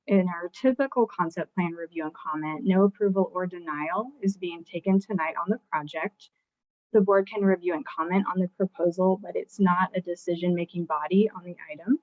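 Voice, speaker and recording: A woman with a soft, lowish voice presents a staff report as a city planner, measured and formal. She speaks over a video call that sounds dry and a little muffled. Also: no echo.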